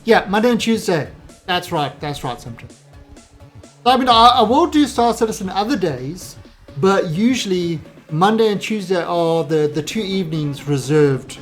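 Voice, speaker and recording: A man's voice: high voice, expressive, measured pace. A man in his forties with a South African accent chats casually with viewers while streaming a game. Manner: chatty, rambling, answers viewer chat. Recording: game music behind the voice.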